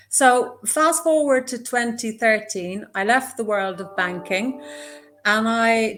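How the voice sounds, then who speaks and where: A woman in her fifties speaks with a west of Ireland accent in a measured, articulate way. She answers interview questions as a business mentor guest. Her voice comes through a video call. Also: a little high. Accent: west of Ireland Irish.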